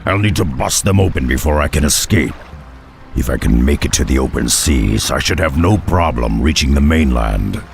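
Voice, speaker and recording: A stoic half-ogre warrior from a fantasy game. The voice is extremely deep and full of gravel, blunt and solemn, with lively swings in pitch. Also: male voice, moderate pace, a little hiss or hum, exaggerated voice. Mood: determined. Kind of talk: video game cinematic acting.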